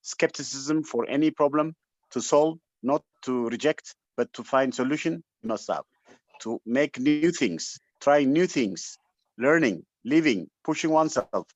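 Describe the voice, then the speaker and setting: A man's voice: mid-range voice, no room sound. Emphatic Ethiopian man, dull webinar audio. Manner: emphatic, repetitive, exhortative. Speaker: webinar speaker. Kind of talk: impassioned motivational address, largely unscripted. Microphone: online call microphone.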